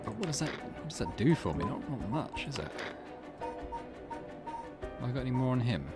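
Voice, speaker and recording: A man's voice: medium pitch, very animated, moderate pace, loud music behind the voice. A man giving casual let's play commentary, musing and thinking aloud in questions. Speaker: gaming video host.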